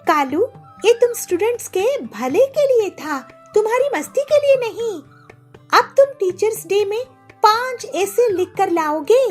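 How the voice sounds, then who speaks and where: Cartoon: woman voicing a stern, high, sing-song female bird teacher, lively delivery. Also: unhurried, faint music behind, exaggerated voice. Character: Pilu, a bird schoolteacher, strict and lively. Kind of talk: cartoon voice acting.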